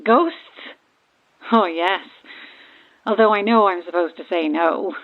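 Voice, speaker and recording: A woman's voice: mid-range voice, takes it slowly, gravelly. Stranded boat woman on narrow, tinny marine radio: chatty yet cryptic, knowing ghost believer, audio horror drama. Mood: chatty, keen. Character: eerie, self-aware female ghost believer calling from stranded boat. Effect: radio transmission filter. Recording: thin, band-limited marine radio.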